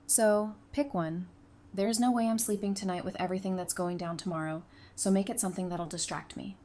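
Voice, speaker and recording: A woman's voice: medium pitch, fairly even intonation, fairly quick, dark tone, lightly acted. Woman acting a sassy survivor, noisy recording. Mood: playful. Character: witty, sassy young woman, hardened apocalypse survivor. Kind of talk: fan audio drama dialogue. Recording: clear background noise.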